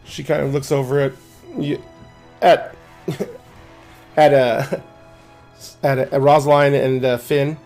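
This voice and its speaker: A man's voice: mid-range voice, steady tone, slow, pauses often, a little mellow, faint music behind. A man narrating a tabletop game as game master, casual and hesitant with many fillers.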